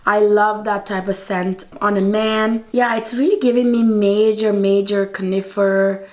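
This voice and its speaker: Hoarse woman chatting through a lost voice. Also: mid-range voice, steady tone, measured pace, a little mellow. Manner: chatty, rambling, with restarts and "you guys". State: hoarse, lost voice from a sore throat. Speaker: beauty and fragrance vlogger. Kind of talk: casual review to camera. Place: indoor studio set with textured wall.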